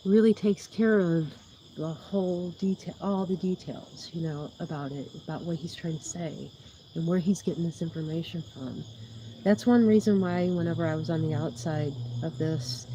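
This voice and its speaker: A woman's voice: low-pitched, warm tone, slight background noise, low-quality recording. A woman hosting a livestream in casual, rambling chat, with a soothing voice, laughing often and losing her train of thought. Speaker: YouTube livestream host.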